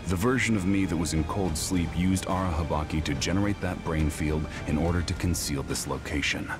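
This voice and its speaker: Casting: male actor as imperious game villain, very low voice, obsessive and world-weary, deliberate contemptuous delivery, over prominent music. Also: close to an everyday voice. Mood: cold, smug. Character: cold, obsessive male time traveler villain, scornful and weary. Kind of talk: dramatic video game acting.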